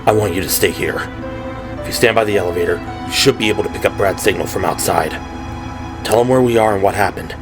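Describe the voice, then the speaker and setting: A man voicing a steady, protective young officer in an audio drama, firm but reassuring, with music behind. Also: fast talker, natural voice. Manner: low-key, firm, reassuring. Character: protective, steady young male police officer giving orders.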